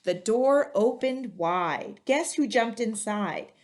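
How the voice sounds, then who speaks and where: A woman hosting a children's read-aloud, speaking in clearly phrased storytelling style. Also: a little high, lively intonation, slow. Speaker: museum educator and read-aloud host. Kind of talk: reading a children's picture book aloud.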